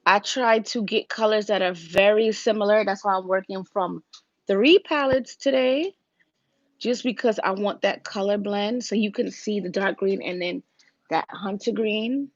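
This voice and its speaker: A woman with a raspy voice, casually talking viewers through a makeup look on a livestream, step by step. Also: mid-range voice, measured pace, dry recording, lo-fi sound. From Virginia, US. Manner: chatty, talks viewers through each step. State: raspy, deeper voice than usual.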